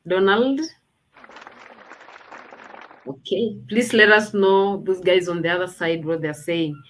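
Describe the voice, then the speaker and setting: A woman's voice: lowish voice, fairly even intonation, slow pace, some chatter behind, poor audio. A woman hosting a casual online launch party over a video call, chatty and thinking aloud as she talks. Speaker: host.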